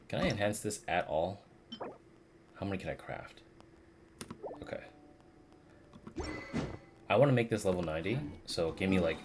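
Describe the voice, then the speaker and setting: Deep-voiced male game streamer rambling casually. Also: background noise. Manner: hesitant, rambling, slangy. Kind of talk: casual live playthrough commentary.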